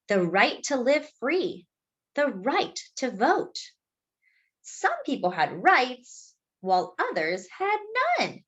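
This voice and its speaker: A woman reads a picture book aloud expressively, explaining things simply and deliberately as if to young children. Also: fairly high pitch. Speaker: children's storybook reader.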